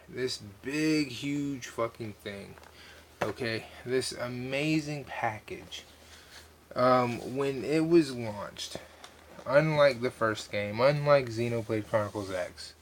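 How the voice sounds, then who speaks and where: Casting: male gaming vlogger, mid-pitched voice, off-the-cuff emphatic rant with halting repeats and casual swearing, noisy recording. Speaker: video game vlogger. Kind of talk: casual opinion rant to viewers.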